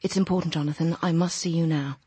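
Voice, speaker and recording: Insistent, husky, commanding woman. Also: sits low, fairly even intonation, fast talker, mellow, no echo. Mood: urgent. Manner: insistent, direct. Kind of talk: British radio drama acting.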